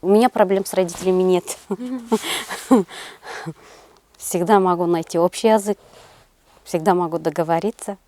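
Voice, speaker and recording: Middle-aged woman, low voice, halting delivery. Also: noisy background. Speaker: interview guest, senior kindergarten teacher. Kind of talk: interview answers.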